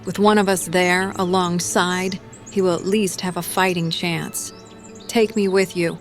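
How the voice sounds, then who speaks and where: Woman acting a slow, resolute young warrior woman. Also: on the low side, steady tone, soft background music. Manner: measured, solemn, resolute. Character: determined maimed young warrior woman, Samantha, proud and grave. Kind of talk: dramatic audio drama acting.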